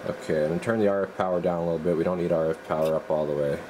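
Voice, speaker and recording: A man in a radio workshop, talking casually and haltingly while he tests equipment. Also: low-pitched, background noise. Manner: relaxed, halting, thinks aloud while working. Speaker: radio repair technician. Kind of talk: casual hands-on demo.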